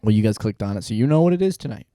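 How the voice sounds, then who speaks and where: A man's voice: fairly low pitch, expressive, very fast, mellow, dry recording, clean studio sound. A man hosting a podcast, talking casually and bantering with his co-host. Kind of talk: casual banter and show intro.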